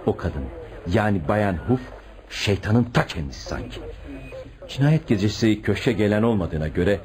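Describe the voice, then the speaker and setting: An actor playing an earnest, stubborn junior police detective in a radio play, deferential yet insistent. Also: male voice, lowish voice, a little mellow. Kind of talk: radio theatre acting.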